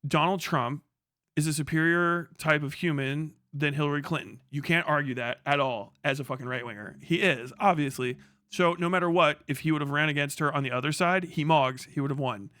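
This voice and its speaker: A man with a fairly high, forceful voice in a very dry recording. He talks off the cuff as a livestream commentator, opinionated and emphatic, swearing freely as he reacts to videos. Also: fairly quick, dry recording. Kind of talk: casual unscripted political commentary, reacting to video clips.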